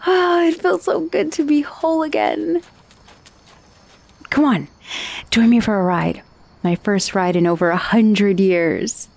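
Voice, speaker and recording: A woman's voice: on the high side, unhurried, creaky, pauses often, a little mellow. Friendly magical severed head, female dullahan, played by an actress, ASMR roleplay. Leisurely pace, casual and a little hesitant. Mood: excited, exhilarated. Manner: casual, hesitant asides with sighs and murmurs. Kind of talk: ASMR roleplay acting, addressing the listener.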